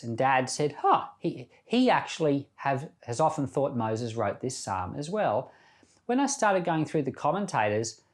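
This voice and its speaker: A male pastor speaks in a middle-pitched voice on a Bible podcast. He reads scripture and talks through it in a conversational, reflective way, like informal devotional teaching.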